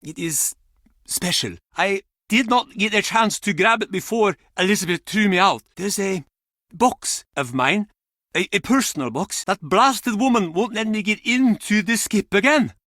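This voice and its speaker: A man's voice: high-pitched, pushed and bright, no echo, studio quality. An actor playing an embittered, grieving old fisherman in a video game, blunt and theatrical in manner. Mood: bitter, agitated. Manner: theatrical, blunt, halting old-salt turns of phrase. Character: gruff, embittered, grieving old Icelandic fisherman and pub regular. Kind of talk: video game character acting.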